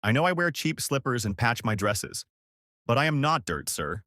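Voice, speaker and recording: A man voicing a weary, humble young housemaid who pleads earnestly and with quiet defiance in a told story. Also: mid-range voice, very animated, normal speed, no room sound, clean studio sound. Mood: earnest pleading.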